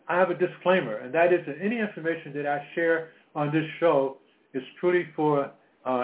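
A man in his seventies hosting a health talk radio show, speaking in a halting way with many fillers and repeated words. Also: a little high. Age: 70s. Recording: phone-line audio.

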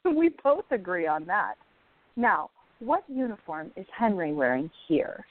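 A woman's voice: fairly low pitch, sing-song, measured pace, no room sound. An actress playing a supportive, teasing young woman in a radio play, on a thin recording. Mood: playful. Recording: thin, phone-like audio.

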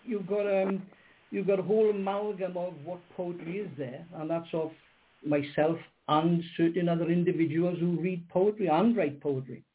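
A man's voice: sits high, steady tone, normal speed, slightly rough. An older man, a guest poet in a radio interview, speaking hesitantly with many ums and repeated words. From Wales. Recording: narrow phone-line sound.

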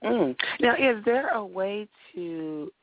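A woman with a raspy voice hosting a radio talk show in a studio, upbeat and often repeating her words. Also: medium pitch, expressive, takes it slowly, dry recording, narrow, tinny audio.

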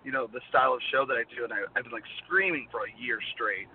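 A man in his thirties, a guest performer, chatting in a rambling way with a voice worn low from screaming. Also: fast talker. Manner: rambling, heavy use of "like". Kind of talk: casual interview chat. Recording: tinny phone-like line, faint noise.

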